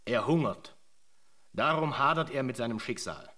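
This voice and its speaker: A man narrating a German radio drama as a solemn chronicler, in measured, scripted literary sentences. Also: mid-range voice, animated, sharp tone. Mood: grave. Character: solemn male chronicler of an emigrant's tale.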